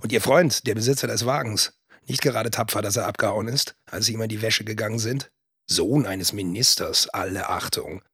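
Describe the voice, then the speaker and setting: A man reports in a lowish, husky voice, recorded very dry. He is a wry, colloquial police officer in a radio drama, mildly mocking. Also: normal speed, a bit bright, no echo. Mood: wry, scornful.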